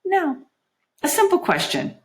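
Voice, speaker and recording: A woman's voice: very high-pitched, huge pitch swings, a little slow, voice pushed up, exaggerated voice. A woman voicing a defiant female elf captain from a fantasy storybook, with a firm, coaxing, commanding manner.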